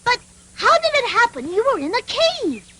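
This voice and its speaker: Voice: extremely high pitch, very animated, normal speed. A performer voicing an innocent, earnest wooden puppet boy in a dubbed cartoon, childlike and polite. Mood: grateful. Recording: loud music behind the voice.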